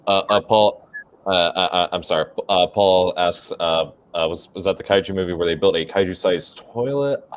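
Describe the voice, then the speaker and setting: Deep-voiced hesitant guy reading chat. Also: moderate pace, smooth. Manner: hesitant, many uh fillers. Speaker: co-host reading chat questions. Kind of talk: casual livestream chat.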